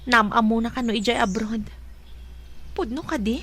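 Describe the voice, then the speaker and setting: A woman's voice: a little high, moderate pace, fairly bright tone. A woman acting a heartbroken, respectful young single mother in an Ilocano radio drama, with an Ilocano accent and earnest, emotional delivery. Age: young adult. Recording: faint noise behind the voice.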